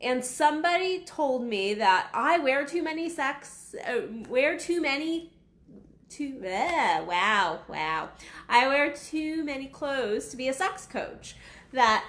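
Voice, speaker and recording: A woman in her thirties, a sex coach, talking casually and bluntly to camera, her voice raspy from being unwell. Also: sits high, sing-song, slow, fairly bright tone, echoey room. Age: 30s. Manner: frank, blunt, swears freely. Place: small waiting room at her office.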